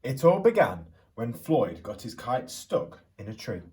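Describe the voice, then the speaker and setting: Animated man reading a children's storybook, slightly distant. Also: medium pitch, unhurried. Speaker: primary school teacher reading aloud. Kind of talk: expressive children's storybook reading with questions to listeners.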